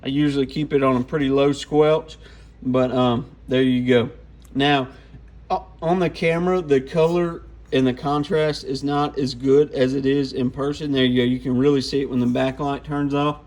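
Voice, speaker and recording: A man's voice: medium pitch, steady tone, normal speed, a little mellow. A man giving an informal, off-the-cuff hands-on product walkthrough. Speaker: radio gear reviewer.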